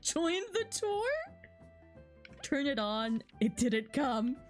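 A woman's voice: high voice, very animated, slow pace. A woman streamer reading video game dialogue aloud with casual commentary, in a giggly manner that often breaks up her words. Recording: music behind the voice. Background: video game music.

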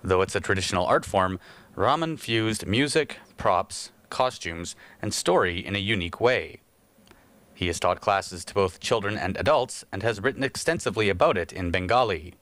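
A male reporter narrating a news report voice-over in a measured, scripted way. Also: low-pitched, lively intonation, a bit bright, no room sound.

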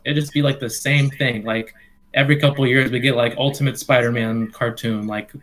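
A man's voice: medium pitch, fairly even intonation, brisk, fairly bright tone. A young man chatting casually with lots of filler words, on a headset webcam call from his bedroom. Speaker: podcast co-host. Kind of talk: casual chat.

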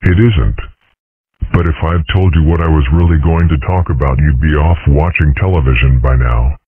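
A man voicing a dignified old dragon father and politician in a cartoon comic dub, speaking in a deliberate, deadpan, oratorical way. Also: rumbling low, slightly rough. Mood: solemn, dry. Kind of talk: scripted comic-strip dub. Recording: muffled, tinny phone-like audio.

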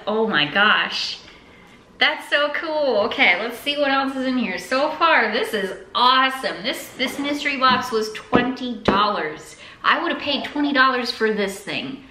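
Bright, upbeat young American woman vlogging. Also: a little high. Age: young adult. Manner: upbeat, enthusiastic. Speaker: vlog host. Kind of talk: casual chat to viewers. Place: indoors at home, open room with kitchen. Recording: echoey room, faint background noise.

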